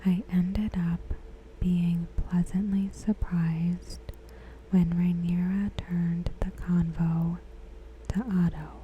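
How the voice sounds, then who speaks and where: A woman whispering softly and breathily in an ASMR style, giving commentary with gentle, deliberate phrasing. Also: on the low side, flat delivery, muffled recording, a little hiss or hum. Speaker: ASMR creator.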